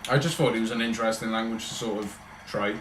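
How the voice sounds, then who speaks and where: A man's voice: lowish voice, fairly even intonation, quick pace, a bit bright, reverberant, a little hiss or hum, rough recording. A man with a Welsh accent, chatting casually and rambling on a livestream as he answers his viewers. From Wales. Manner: rambling, chatty, talks in loose run-on thoughts.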